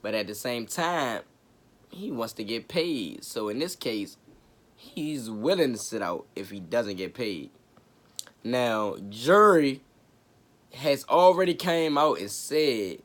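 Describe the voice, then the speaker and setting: A man hosting his own sports talk show, raspy from a cold, chatting casually with slang and jokes. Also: fairly low pitch. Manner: laid-back, joking, chatty with slang. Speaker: sports podcast host.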